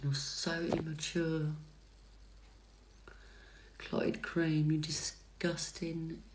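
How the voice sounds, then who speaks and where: A middle-aged woman, a psychic tarot reader chatting on a livestream and answering comments in a soft, calming voice, hesitant with many fillers and restarts. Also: sits low, extremely slow, a little hiss or hum, low-quality recording. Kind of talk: casual livestream chat answering viewer comments. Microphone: phone livestream mic.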